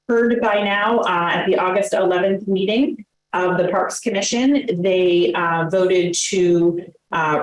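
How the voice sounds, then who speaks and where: A woman chairing a town committee meeting, speaking with many fillers and hesitations. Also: lowish voice. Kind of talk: running a public meeting. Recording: roomy echoey meeting room.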